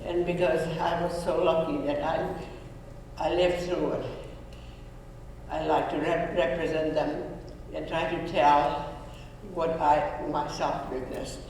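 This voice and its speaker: A woman speaks slowly and earnestly, pausing and restarting her phrases. She is heard from a distance across a big, echoing school hall. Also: low voice, level tone, a little mellow, slight background noise. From Hungary. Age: 90s. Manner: earnest, halting, with frequent restarts. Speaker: Holocaust survivor speaking to students. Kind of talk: personal testimony talk. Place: school room or auditorium. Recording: echoey hall, voice from far off.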